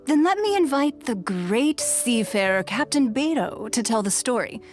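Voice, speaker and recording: A woman's voice: a little high, big pitch swings, faint music behind. Elegant, haughty noblewoman. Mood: wry, teasing. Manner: refined, measured, dryly condescending. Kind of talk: video game character acting.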